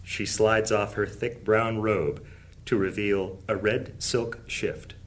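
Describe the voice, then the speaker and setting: A man narrating a high fantasy audio drama as a solemn, measured storyteller. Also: fairly even intonation, slight background noise.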